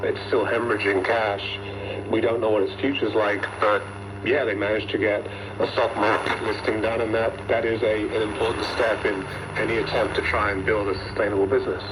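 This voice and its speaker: A man's voice: lowish voice, level tone, fairly quick. A man speaking as a journalist being interviewed, explaining things off the cuff, heard over shortwave radio. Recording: noisy shortwave radio reception.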